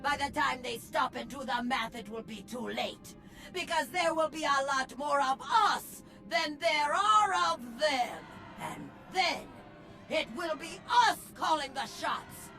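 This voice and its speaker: An actress playing a bold, charismatic female gang leader in a video game, with a declamatory, deliberate, rallying delivery. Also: sits high, pushed and bright, faint music behind, full character voice. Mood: rousing, proud. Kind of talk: theatrical video game speech.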